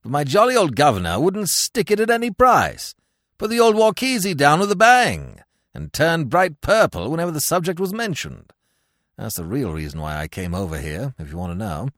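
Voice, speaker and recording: A man's voice: fairly high pitch, huge pitch swings, normal speed, fairly bright tone, no echo, clean studio sound. A man reading as a giggly foppish young toff. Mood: chirpy. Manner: giggling, breezy slang.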